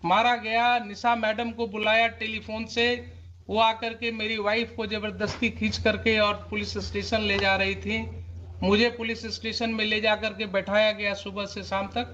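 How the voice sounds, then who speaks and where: Stern, high-pitched Indian man, a judge. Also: flat delivery, moderate pace, bright, forceful tone. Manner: stern, admonishing, rhetorical questions; switches between Hindi and English. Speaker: judge presiding over a court hearing. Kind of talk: courtroom questioning and oral directions. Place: courtroom. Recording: muffled courtroom audio, faint noise, clicks.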